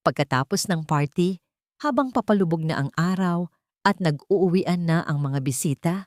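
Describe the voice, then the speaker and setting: A woman narrates a dramatic story in a low voice. She speaks in short, measured phrases with deliberate phrasing. The recording is dry and close, with no room sound. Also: lively intonation, darkish tone, no echo.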